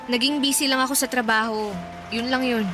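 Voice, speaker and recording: A woman's voice: fairly high pitch, steady tone, fairly quick, music in the background, lightly acted. An actress playing a torn, evasive young engaged office woman in a Filipino radio drama. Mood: defensive, evasive. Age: young adult.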